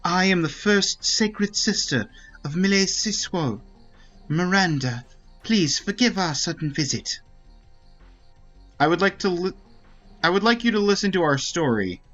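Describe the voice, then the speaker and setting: Dignified, expressive priestess acted by a man. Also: high-pitched, muffled recording. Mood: respectful. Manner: polite, measured, earnest. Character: formal, dignified priestess, a sacred sister. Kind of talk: dramatic read-aloud of fantasy game dialogue. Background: faint game music.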